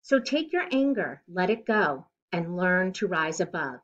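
Animated middle-aged woman reading a storybook. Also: fairly low pitch, measured pace, muffled recording, slight background noise. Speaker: children's storybook reader. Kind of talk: read-aloud picture book narration. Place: living room at home, indoors.

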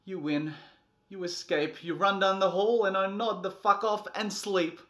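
A man in his thirties doing a comedic, theatrical storybook reading, moving between a soft bedtime tone and exasperated delivery. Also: high-pitched, steady tone, unhurried. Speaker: presenter reading a picture book. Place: small furnished nursery room indoors.

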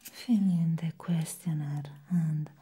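Slow whispering clinic secretary, acted by a woman. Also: low-pitched, steady tone, mellow, slight background noise. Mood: hushed, dismissive. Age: young adult. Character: bored, condescending female clinic secretary, falsely reassuring. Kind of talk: whispered ASMR roleplay. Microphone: close microphone.

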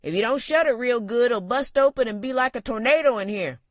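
Narrator doing a gruff older bartender, raspy growl, folksy drawl, dry sound. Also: mid-range voice, fairly quick, dry recording, pitched above the performer's own voice, natural voice. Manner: folksy drawl, loud and blustery. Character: gruff older male bartender uncle, protective.

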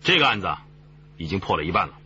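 An actor playing a seasoned police chief who explains things in a measured, authoritative way in a radio drama. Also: male voice, deep voice, big pitch swings, sharp tone, full character voice. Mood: measured, serious. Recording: slightly dull, noisy recording.

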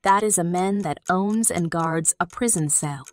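A woman reading scripted quiz narration as the narrator, in a measured announcer style. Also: fairly low pitch.

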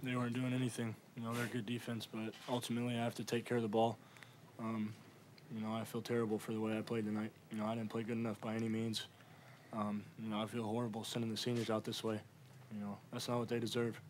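A man's voice: on the low side, monotone, fast, a little hiss or hum, rough recording. A young man, a college quarterback, answering reporters after a game in a subdued, self-critical way, with lots of fillers. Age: young adult. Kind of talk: postgame press conference answers.

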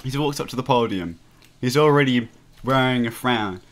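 A man's voice: medium pitch, moderate pace. A man reading a visual novel aloud as a let's play host, casual and jokey, often stumbling over his words and giggling. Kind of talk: casual read-aloud with joking commentary.

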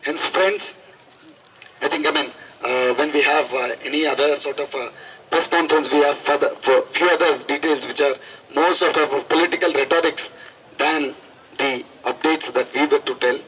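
A man's voice: a little high, steady tone, normal speed, a bit bright, a little hiss or hum, rough recording. A young man with an Indian accent giving an impassioned protest speech into a handheld microphone, emphatic and repeating phrases for effect. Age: young adult. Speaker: student activist. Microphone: handheld microphone through a PA.

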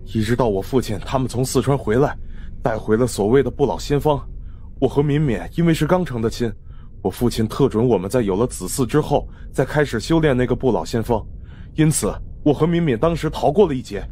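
Man voicing a defeated, mellow-voiced young cult leader. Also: medium pitch, lively intonation, fairly quick, slight background noise, pitched above the performer's own voice, close to an everyday voice. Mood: weary confession.

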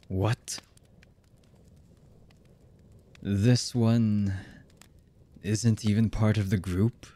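Guy acting as a cold anime villain boyfriend type for asmr roleplay, husky, menacing, super slow talking, really dry sound. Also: fairly low pitch, mellow, no echo. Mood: cold menace. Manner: slow, menacing, deliberate with long pauses. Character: heartless young male anime villain, menacing, cold, commanding. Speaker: voice actor.

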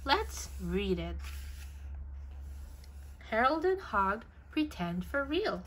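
A woman reading a children's book aloud in an expressive storytelling style. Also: fairly high pitch, slow pace, poor audio.

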